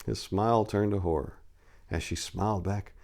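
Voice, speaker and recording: A man's voice: lowish voice, fairly even intonation, normal speed, vocal fry, darkish tone. A man with a theatrical, hammy delivery, speaking to listeners as a storyteller. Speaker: narrator and story editor. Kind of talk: dramatic story narration and informal talk to listeners.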